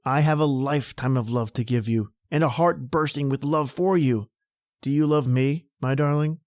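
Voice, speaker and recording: A man's voice: medium pitch, normal speed, darkish tone, dry recording, clean studio sound, natural voice. A man playing a charming, bold young suitor in an old-time radio drama, talking in a gallant, flowery way. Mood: ardent.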